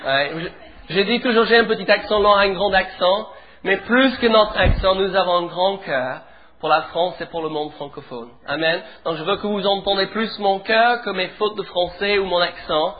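English-accented man preaching, high voice. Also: moderate pace, slight background noise. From England. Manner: animated preaching, leads the congregation. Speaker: pastor. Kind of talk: sermon in church. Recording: tinny phone-like sound with faint music.

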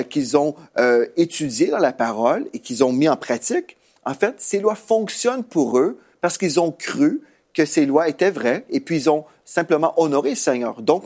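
A man's voice: on the high side, a little mellow. A man with a Canadian French accent, a pastor and host teaching in a conversational televised sermon style.

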